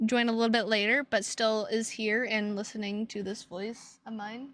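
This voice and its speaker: Bright, high-voiced tired woman streaming. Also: little pitch movement. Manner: halting, rambling delivery with drawn-out words. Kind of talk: casual livestream chat to viewers.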